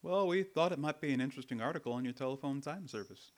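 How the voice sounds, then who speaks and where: A man's voice: mid-range voice, fast talker, close to an everyday voice. A man playing a polite, curious magazine reporter in a radio drama, affably asking questions. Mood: affable, eager.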